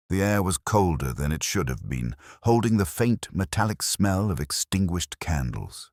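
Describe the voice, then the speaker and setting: A man with an extremely low voice narrates a detective mystery in a solemn, literary style. His delivery is measured, yet the pitch moves in a lively way. The recording is dry and close, with little room sound. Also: dry recording. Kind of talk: scripted first-person audio mystery narration.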